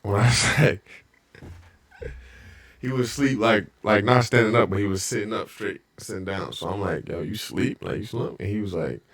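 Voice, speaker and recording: Male podcast host with a very low voice; relaxed, slangy interviewer. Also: normal speed. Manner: easygoing, slangy questions. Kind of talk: casual interview.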